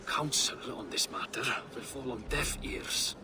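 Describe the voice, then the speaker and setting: An actor playing a cautious, burdened old Norse warrior in a dramatic video game. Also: male voice, mid-range voice, a little slow, noisy background, natural voice. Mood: wary, troubled.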